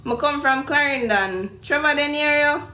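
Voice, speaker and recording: A man's voice: very high-pitched, normal speed, sharp tone, heavily acted. A man voicing an indignant, pushy young Jamaican woman on a prank phone call, demanding answers and swearing. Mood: insistent.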